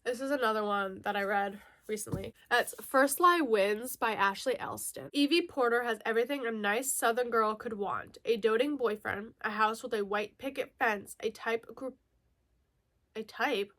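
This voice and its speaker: A woman's voice: on the high side, normal speed, a bit bright. A woman chatting casually as a book vlogger, giggly and self-correcting, slightly nasal from a lingering mild cold. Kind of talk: casual chatty book haul, reading blurbs aloud.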